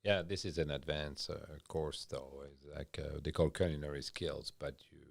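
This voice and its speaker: A man's voice: rumbling low, steady tone, moderate pace, vocal fry, no echo, studio quality. A middle-aged man from France with a French accent, a culinary instructor chatting in an interview, hesitant and full of fillers. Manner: hesitant, many fillers and restarts. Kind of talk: casual interview chat.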